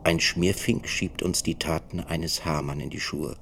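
An extremely deep male voice as a hounded professor of the 1930s. He is defiant and bitterly ironic, recounting in radio play style. Faint noise lies behind him. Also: steady tone, unhurried, gravelly, fairly bright tone, heavily acted. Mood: indignant. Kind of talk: dramatised radio play monologue.

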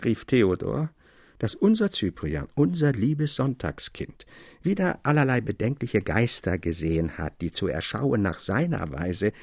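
A man's voice: lowish voice, a little slow, slightly rough, dry recording, clean studio sound. A man narrating a literary tale for a radio production, expressively acting out the quoted speech as he goes. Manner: expressive, theatrical delivery.

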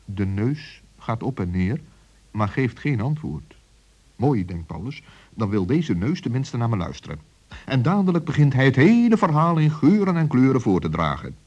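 Animated male children's storyteller. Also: medium pitch, normal speed, slightly rough. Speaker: narrator. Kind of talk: expressive children's story narration. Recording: dull old lo-fi recording.

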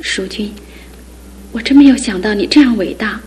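A woman's voice: medium pitch, normal speed, darkish tone, breathy edge. An actress playing a skeptical young woman in a studio radio drama, speaking in a questioning, wistful way. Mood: doubtful. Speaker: fiancé's sister.

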